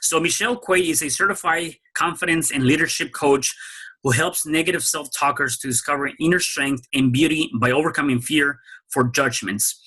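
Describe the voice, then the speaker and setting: Bright, husky-voiced male podcast host. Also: a little high, moderate pace. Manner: hesitant, many fillers and restarts. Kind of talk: podcast interview.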